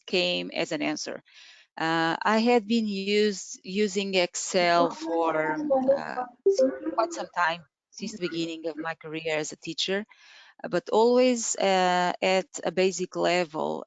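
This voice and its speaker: A woman's voice: lowish voice, clear, resonant tone, no echo. A woman in her forties from Portugal, a native Portuguese speaker, presenting an online webinar from a room at home, talking with many fillers and restarts. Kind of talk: webinar talk. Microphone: video call. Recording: dull lo-fi video call audio.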